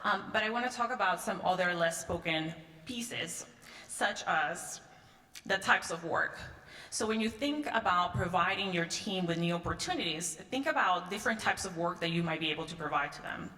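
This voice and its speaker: A woman's voice: lowish voice, normal speed, bright, forceful tone. Peruvian-born woman speaking in a reverberant hall. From Peru. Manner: conversational, frequent "um" and "right?" tag questions. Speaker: conference speaker. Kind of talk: conference keynote talk. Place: large conference hall. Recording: echoey hall, long reverb.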